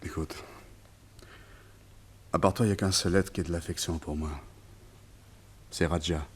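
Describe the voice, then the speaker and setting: Radio play role,: haunted, devoted, anxious man with a deep voice, earnest and confiding. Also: fairly even intonation, normal speed, darkish tone, slightly rough. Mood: tender, solemn. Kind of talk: French radio play acting.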